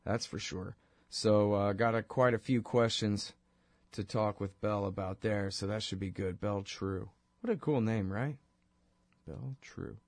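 A man's voice: fairly low pitch, a little slow, darkish tone, no room sound. A man with a head cold hosting his own talk show, rambling and sarcastic as he talks through his segment. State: congested from a cold. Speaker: podcast host. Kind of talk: solo talk-show monologue.

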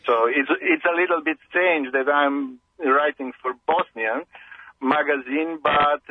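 A man's voice: a little high, fairly even intonation, measured pace, gravelly, no room sound. A male reporter from Serbia with a Serbian accent, whose first language is Serbian. He speaks English hesitantly, searching for his words as a guest on the show. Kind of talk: phone interview. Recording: tinny phone line.

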